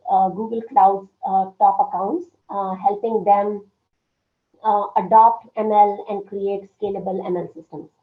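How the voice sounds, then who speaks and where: A woman giving an online workshop presentation with a strained, hoarse voice, speaking haltingly with many fillers and frequent throat clearing. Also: mid-range voice. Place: indoor room at home. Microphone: laptop webcam on a video call. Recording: phone-like video call audio, roomy echo.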